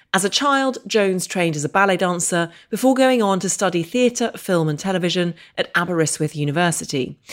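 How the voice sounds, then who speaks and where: A woman hosts a podcast with a slightly low-pitched voice. She speaks in a measured, articulate way, earnest as she introduces and questions her guest. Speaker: podcast host. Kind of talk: scripted introduction then interview.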